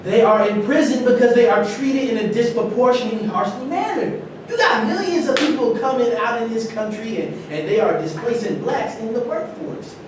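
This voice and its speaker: A man acting an insistent, high-voiced embittered ex-prisoner. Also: expressive, fairly quick. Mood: indignant. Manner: argumentative, insistent. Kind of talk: staged play reading. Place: large indoor room at a live staged reading, distant mic. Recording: very echoey, noisy room from far off.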